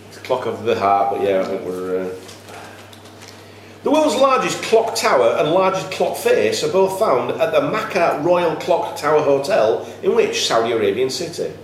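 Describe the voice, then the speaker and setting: High-voiced man hosting a quiz, echoey room. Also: big pitch swings, normal speed. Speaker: quiz master reading questions. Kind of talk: live quiz hosting, reading questions aloud. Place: echoey indoor room at a cricket club. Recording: echoey room, faint background noise.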